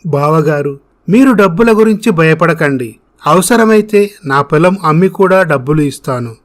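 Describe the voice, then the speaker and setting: A man's voice: fairly high pitch, animated, moderate pace, natural voice. Man playing a kindly older village father. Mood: reassuring. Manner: respectful, comforting.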